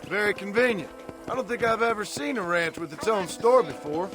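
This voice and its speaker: A man's voice: high voice, brisk, music in the background, lightly acted. A weary American outlaw cowboy from a western game, played by a man. He talks in terse, polite phrases with a dry, rueful edge. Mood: wry, amiable. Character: laconic, world-weary, courteous male outlaw cowboy. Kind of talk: cinematic western game acting.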